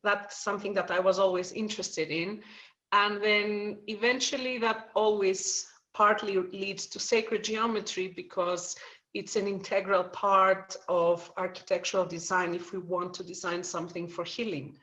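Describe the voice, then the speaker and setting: A woman's voice: medium pitch, steady tone, normal speed, a bit bright, low-quality recording. Thoughtful woman from Greece explaining. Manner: thoughtful, explains at length with fillers. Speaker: interview guest. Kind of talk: casual interview answer.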